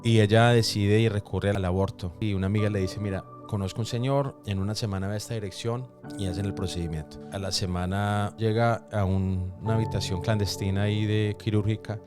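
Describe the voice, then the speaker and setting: A man giving a personal testimony in conversation, speaking reflectively with halting pauses. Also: fairly low pitch, fairly even intonation. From Colombia, raised in New York from age four. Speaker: podcast guest. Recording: roomy echo, faint music behind.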